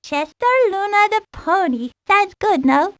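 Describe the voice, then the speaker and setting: A very high-pitched girl of seven introduces herself in a video game. She is chatty, girly and earnest, with lively swings and a dry studio sound. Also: a little slow, no room sound, full character voice. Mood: bubbly, earnest.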